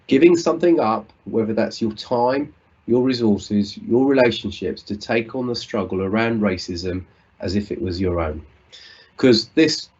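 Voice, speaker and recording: British man, husky, fairly low voice, earnest conference talk, dull lo-fi call audio. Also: darkish tone. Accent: British English. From United Kingdom. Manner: earnest, reflective, with frequent restarts. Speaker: conference speaker. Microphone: video call.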